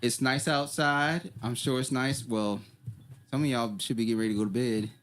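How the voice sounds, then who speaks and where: A man co-hosting a podcast in casual chat, animated and laughing often as he acts out what people say. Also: mid-range voice, normal speed, a little hiss or hum. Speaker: podcast co-host.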